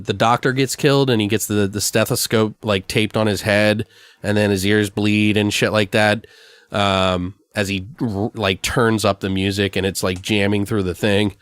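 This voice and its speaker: A man's voice: on the low side, fairly even intonation, moderate pace, clean tone, dry recording. A man hosting a podcast, brainstorming in a loose, rambling, slangy and profane way. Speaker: podcast host. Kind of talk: casual brainstorming chat.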